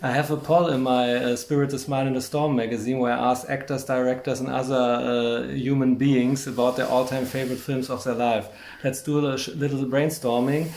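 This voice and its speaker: A man with a German accent conducts a film interview at a medium pitch. He is effusive and enthusiastic, phrasing his English a little haltingly. His voice echoes in a room with clear background noise. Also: flat delivery, a little mellow. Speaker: magazine interviewer.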